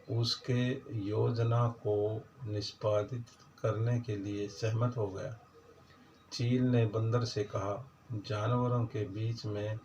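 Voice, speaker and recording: A man telling children's moral stories in an informal narration, with halting, broken phrasing and pauses. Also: lowish voice, creaky, darkish tone. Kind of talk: informal storytelling narration in Hindi. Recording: dull lo-fi sound with faint noise.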